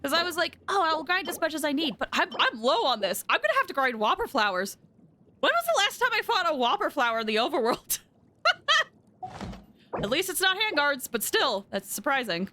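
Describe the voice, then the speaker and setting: A woman's voice: sits high, sing-song, moderate pace, sharp tone, a little hiss or hum. A woman chatting casually and animatedly on a game livestream, rambling as she talks to viewers.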